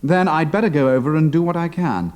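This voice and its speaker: An actor playing a young British flying officer working undercover, brisk and plucky, with a British accent, in an audio drama. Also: male voice, a little high, darkish tone, no room sound, lightly acted. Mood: brisk, dutiful.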